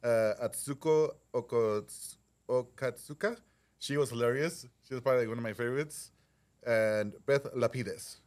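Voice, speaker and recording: Animated man retelling a night out. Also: mid-range voice, normal speed. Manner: animated storyteller, acts out jokes. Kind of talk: casual chat.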